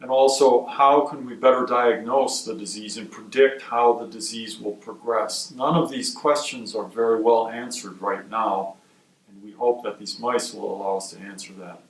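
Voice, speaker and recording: A man from Wisconsin who directs a research institute, giving measured, explanatory interview answers. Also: on the low side, steady tone. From Wisconsin, USA. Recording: roomy sound from a distant mic.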